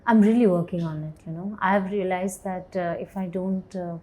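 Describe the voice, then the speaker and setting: Thoughtful, mellow-voiced woman in her forties. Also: steady tone, moderate pace. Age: 40s. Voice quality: low voice, self-described as bass. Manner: thoughtful, hesitant, laughs easily. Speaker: actor and writer, interview guest. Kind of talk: candid interview conversation.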